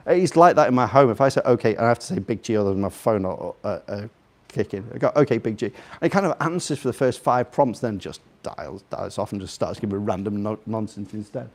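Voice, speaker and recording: A man's voice: on the low side, fast talker, a little mellow. A man with a slight Liverpool accent giving an informal, jokey, rambling live talk, putting questions straight to his audience. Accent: slightly Scouse (Liverpool). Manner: chatty, jokey, rambling, puts questions to listeners. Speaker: guest speaker. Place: live in a room in front of an audience.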